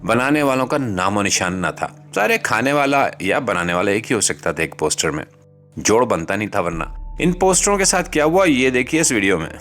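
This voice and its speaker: A man's voice: lowish voice, big pitch swings, normal speed, a bit bright, soft background music. A Pakistani man delivering scripted satirical political commentary in a sardonic, rhetorical manner. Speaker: host and commentator.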